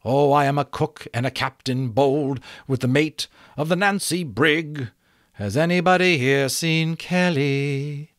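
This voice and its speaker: A man voicing a flighty, whimsical male butterfly who sings and recites in a drawn-out, theatrical way. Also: a little high, fairly bright tone, dry recording, clean studio sound, voice pushed up. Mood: jaunty. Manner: sing-song, drawn-out, theatrical.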